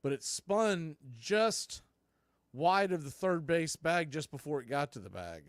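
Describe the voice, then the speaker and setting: A man's voice: on the high side, expressive, unhurried, no room sound, clean studio sound. A man doing live baseball play-by-play as a stream host, mixing clipped calls with folksy, joking asides and casual chat.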